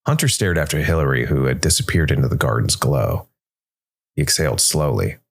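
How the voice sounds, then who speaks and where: A man narrating a scripted dark fantasy story in a measured, deliberate way. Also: very deep voice, animated, creaky. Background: music.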